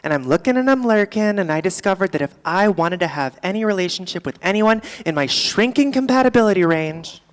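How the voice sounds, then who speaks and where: A performer playing a boozy, world-weary middle-aged female comic, doing a rambling, deadpan stand-up monologue live on a theatre stage. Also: mid-range voice, fairly quick, few pauses, big-room sound, lightly acted. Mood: confessional, swaggering. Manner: rambling, deadpan, theatrical, swinging between asides and outbursts.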